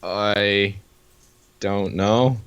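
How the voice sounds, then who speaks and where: A man's voice: fairly low pitch, fairly even intonation, very slow, a bit bright, clear, resonant tone. A man co-hosting in casual banter, blunt and given to swearing. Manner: blunt, profane. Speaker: co-host.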